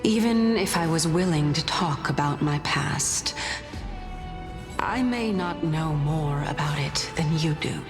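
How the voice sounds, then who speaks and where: A serene, knowing goddess of reason from a fantasy game, very slow and amused, with a lowish voice. A long reverb trails her words, with music behind. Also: animated. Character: serene, wise female divine titan of reason. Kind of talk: game voice acting.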